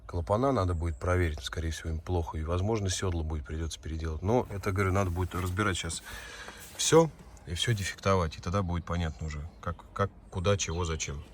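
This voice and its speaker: A hoarse male mechanic casually walking through an engine teardown in a repair garage, matter-of-fact and a little rueful. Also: deep voice, fast talker, a little hiss or hum. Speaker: auto mechanic, channel host. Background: music.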